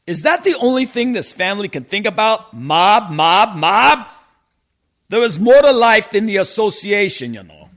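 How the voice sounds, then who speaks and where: A neurotic mob boss from a comic radio play, acted by a man. His voice sits high and swoops widely as he whines and rambles in tough-guy slang. He sounds like an exasperated middle-aged father at the end of his rope. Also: lively intonation, normal speed, fairly bright tone. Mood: exasperated, frazzled.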